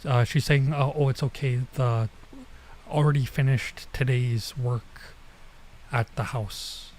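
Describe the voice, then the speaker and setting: Mellow, informal male gaming streamer. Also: mid-range voice, steady tone, a little hiss or hum. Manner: informal, halting, mutters to himself while translating. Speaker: streamer playing a visual novel. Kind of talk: casual let's play commentary, reading dialogue aloud.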